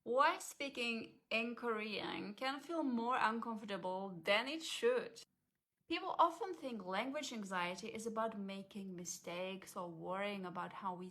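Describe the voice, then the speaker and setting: A woman with a voice a touch higher than most talks in a calm, measured way. She walks through each point like a coach delivering a scripted explainer. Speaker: language confidence coach.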